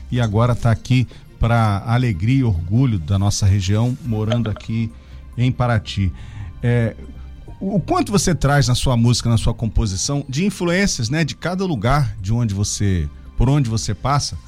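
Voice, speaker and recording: Friendly radio co-host. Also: male voice, mid-range voice, darkish tone. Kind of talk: radio interview.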